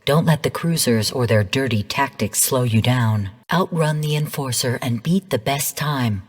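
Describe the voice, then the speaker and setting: An actress playing a female artificial intelligence mentor in a video game, delivering mission briefings in a calm, stern, measured and formal way. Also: a bass voice, slightly rough, big-room sound, heavily acted. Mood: cool warning. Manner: measured, formal, cool, precise. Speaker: game guide and mission narrator.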